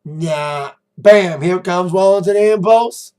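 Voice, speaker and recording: An American man with a slightly hoarse voice, giving a rambling, informal and emphatic recap as a wrestling review vlogger. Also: sits high, takes it slowly, darkish tone. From United States. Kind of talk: casual recap commentary to camera.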